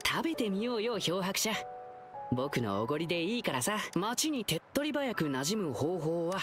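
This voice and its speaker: Kind upbeat teen boy, anime game, really animated, music behind. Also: fairly low pitch, moderate pace. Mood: cheerful. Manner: eager, boyish, casual speech. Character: earnest, upbeat, kind teenage boy lion dancer.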